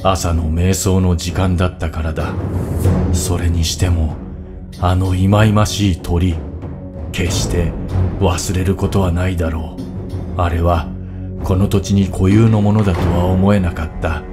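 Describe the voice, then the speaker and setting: A man playing a solemn, scheming bear warrior who narrates in a measured way in a game cutscene. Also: low-pitched, little pitch movement, over music, natural voice. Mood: solemn, brooding. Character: calm, scheming male bear warrior, recounting his plans. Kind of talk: Japanese scripted cutscene narration.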